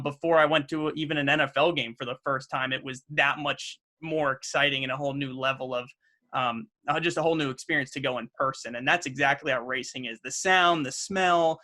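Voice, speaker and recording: Young male guest, slightly high, bright tone, earnest and chatty. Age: young adult. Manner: earnest, upbeat, with frequent fillers and restarts. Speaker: race car driver guest. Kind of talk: casual podcast interview.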